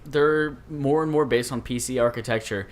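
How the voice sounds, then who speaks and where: A man's voice: mid-range voice, normal speed. A man chatting casually about video games as a podcast host.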